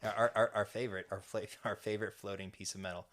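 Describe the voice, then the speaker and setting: A man's voice: on the low side, flat delivery, quick pace, a bit bright. A man co-hosting a fan podcast, recapping an episode in casual chat with lots of restarts and fillers. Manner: rambling, many restarts and fillers. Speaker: podcast co-host.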